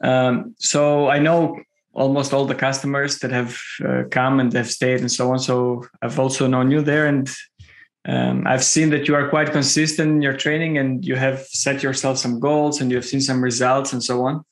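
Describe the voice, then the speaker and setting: This is a young man, hosting an interview over a video call. He is polite and halting, packing his speech with fillers and restarts, at an ordinary middle pitch. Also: little pitch movement. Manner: hesitant, many uh fillers and restarts. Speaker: podcast host. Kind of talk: casual interview chat.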